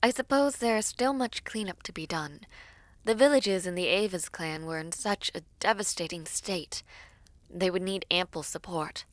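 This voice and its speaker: A woman playing a devoted princess in an audio roleplay, tender and formal, with measured, hesitant phrasing. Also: on the low side, no echo, natural voice. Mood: composed. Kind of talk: intimate audio roleplay acting.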